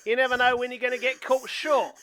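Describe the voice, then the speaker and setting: A man's voice: very high voice, little pitch movement, fairly quick, sharp tone, no room sound. A man playing a paranoid, stubborn survivalist train passenger in an amateur radio play, protesting defensively. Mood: self-justifying.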